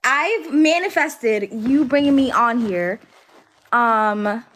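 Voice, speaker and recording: A woman's voice: on the high side, animated, slow, fairly bright tone, slight background noise, rough recording. A woman hosting a livestream, chatting casually with lots of "like" fillers. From Alabama, US. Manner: chatty, filler-heavy with frequent "like". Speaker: livestream host. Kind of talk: casual chat.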